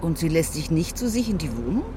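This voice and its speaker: A woman's voice: sits low, very animated, brisk. A woman acting in a German radio drama as a curious, teasing acquaintance, wry and probing. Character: curious, teasing female acquaintance of a young man. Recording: noisy vehicle sound behind.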